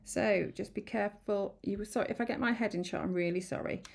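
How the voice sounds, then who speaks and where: A woman chatting through a card-making tutorial, a little croaky from hay fever, rambling and apologetic with many ums. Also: mid-range voice, fairly even intonation, fast, a little mellow. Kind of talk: casual craft tutorial. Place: home craft room.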